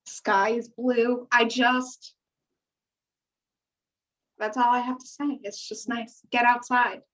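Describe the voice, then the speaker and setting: A woman's voice: fairly high pitch, little pitch movement, slow pace. A woman podcast co-host chatting casually on a remote call, in a bubbly and chatty way. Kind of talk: casual chat. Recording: dull, lo-fi call audio.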